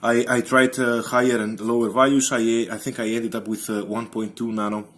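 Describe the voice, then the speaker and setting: A man giving an unscripted technical explanation as a hobbyist presenter, halting and hesitant, with many ums. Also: medium pitch, flat delivery, fairly bright tone.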